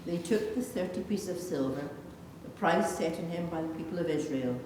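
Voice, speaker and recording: A woman with a fairly low voice reads out character lines from scripture. The sound is distant and very echoey, with the long reverb of a large church. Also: normal speed. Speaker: scripture reader of several character parts. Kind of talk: scripted dramatised Bible reading. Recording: echoey church hall, distant, faint noise.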